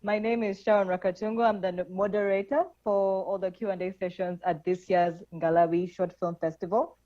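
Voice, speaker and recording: A woman's voice: mid-range voice, fairly even intonation, mellow. A woman moderating an online film festival Q&A, friendly and enthusiastic over a video call. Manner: friendly, enthusiastic, quick laughs. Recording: dull lo-fi call audio.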